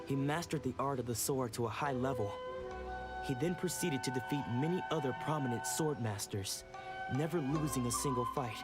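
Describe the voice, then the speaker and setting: A young sword disciple from a video game, voiced by a man, earnest and guarded, with a formal, measured delivery. His mid-pitched voice sits over clear game music. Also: natural voice. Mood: explaining. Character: young male dojo disciple, protective and earnest.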